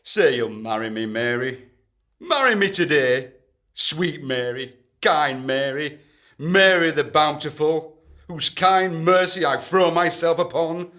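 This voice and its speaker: Man playing a pompous amorous duke, highish voice. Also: expressive, slow pace, pushed and bright, heavily acted. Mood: pleading, insistent. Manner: florid, melodramatic, gushing. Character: pompous, amorous, pushy duke wooing a lady. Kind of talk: comic period radio play acting.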